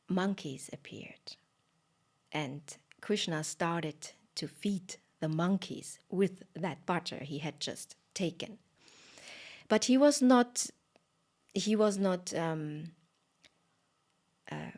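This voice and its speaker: A woman telling a story live in an expressive way, with fillers and dramatic pauses. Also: on the low side.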